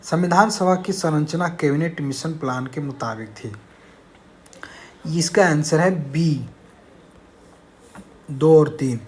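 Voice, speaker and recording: A man's voice: fairly high pitch, takes it slowly, pauses often, darkish tone, slight background noise. A man teaching an exam-prep lesson, reading out questions and explaining answers in a didactic, repetitive way. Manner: didactic, repeats key phrases.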